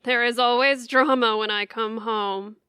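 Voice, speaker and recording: A woman's voice: a little high, fairly even intonation, measured pace, pushed and bright, clear, resonant tone, no echo, studio quality. A woman playing a brash, wisecracking grown daughter in a comedic audio drama, blunt and wry. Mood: confiding.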